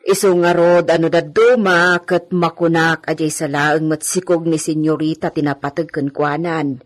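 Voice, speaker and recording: A woman's voice: deep voice, steady tone, moderate pace, lightly acted. Chatty village helper woman played by an actress. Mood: worried gossip. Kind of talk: radio drama acting.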